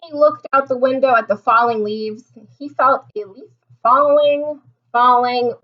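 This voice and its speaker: A woman's voice: high-pitched, fairly even intonation, measured pace, muffled recording. A woman reading a picture book aloud to young children as their teacher, expressively explaining and asking them questions. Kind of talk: animated storybook read-aloud with questions to listeners.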